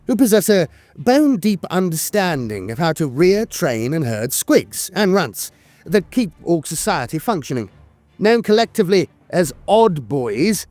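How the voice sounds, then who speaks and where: Theatrical man narrating lore, higher-pitched, swooping intonation. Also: a little mellow, dry recording. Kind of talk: scripted lore narration of a fantasy war game. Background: music.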